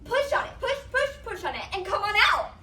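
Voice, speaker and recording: A young female performer plays a bossy, excitable mother-hen of a goose in a storybook stage piece. The voice is very high and swoops widely, repeating words in rapid bursts. It comes from a distance in a roomy space. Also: exaggerated voice. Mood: urging. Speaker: student reader's theatre performer. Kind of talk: theatrical storybook stage reading. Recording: roomy, noisy, far from mic.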